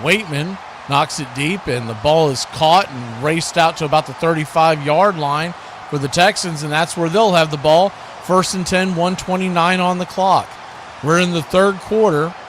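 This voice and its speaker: A man's voice: on the high side, moderate pace, background noise. A man doing live radio-style football play-by-play as the announcer.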